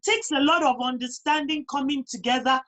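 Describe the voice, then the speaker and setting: Female interview guest, Nigerian accent, high voice, conversational yet emphatic delivery. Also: brisk, a bit bright. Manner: emphatic, repeats phrases for emphasis. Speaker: guest on a talk show. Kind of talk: conversational interview, personal advice.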